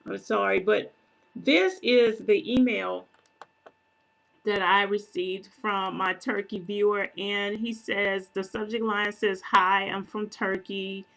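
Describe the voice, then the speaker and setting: A woman talks slowly and casually to her viewers while she walks them through her screen. She often stops to clear her throat, pauses on fillers and corrects herself as she goes. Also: mid-range voice, fairly bright tone, low-quality recording. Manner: unhurried, self-correcting, conversational. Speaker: prophetic minister and video channel host.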